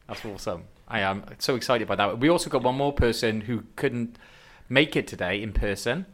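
A man talks fast in a mid-range voice. He is playful and jokey, firing off quick banter with the others on the podcast. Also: medium pitch. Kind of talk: casual podcast chat.

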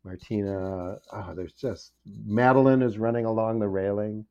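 A man's voice: fairly low pitch, normal speed, mellow, clear, resonant tone, lo-fi sound. A man chatting casually and playfully as a podcast guest, with a joking manner. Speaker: podcast guest, children's book author. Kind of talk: casual chat.

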